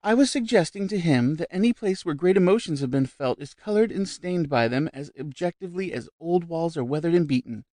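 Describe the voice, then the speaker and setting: A man voicing a vivacious, shrill middle-aged society woman who gushes about spirits, raised high and loud above his own voice. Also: animated, moderate pace, no echo, studio quality. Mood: gushing.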